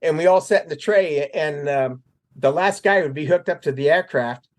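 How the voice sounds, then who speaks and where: A man's voice: on the high side, normal speed. Older man telling war stories casually. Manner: anecdotal, acts out remembered dialogue. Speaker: interview guest, military veteran.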